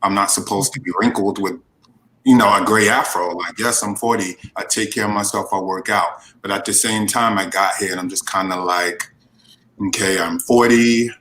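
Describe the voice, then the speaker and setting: A man's voice: lowish voice, fairly even intonation, moderate pace, fairly bright tone, a little hiss or hum, poor audio. A man in his forties, a guest in a casual chat, talking frankly and animatedly about his life. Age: 40s.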